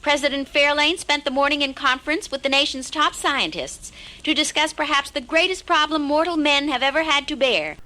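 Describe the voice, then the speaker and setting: An actress playing an earnest, distressed news reporter, delivering a formal, emphatic newscast in an audio drama. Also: female voice, sits high, brisk, pushed and bright. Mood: grave, urgent.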